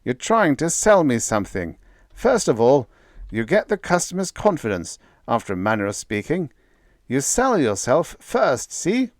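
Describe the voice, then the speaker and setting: A man's voice: medium pitch, very animated, normal speed, no echo, studio quality, voice pushed up, natural voice. A man voicing a scared but dogged young man who talks like a salesman. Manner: brash, folksy.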